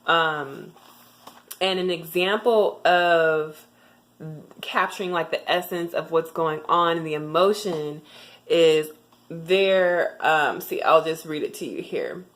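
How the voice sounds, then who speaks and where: Young woman, low voice, relaxed vlog tutorial, chatty and halting with ums. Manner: chatty, with frequent ums and restarts. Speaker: vlogger sharing language tips. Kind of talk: casual tutorial talk to camera.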